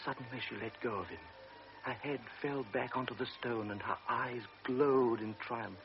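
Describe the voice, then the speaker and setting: A man narrating a radio drama in a measured, dramatic storytelling manner. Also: medium pitch. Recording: dull radio drama recording.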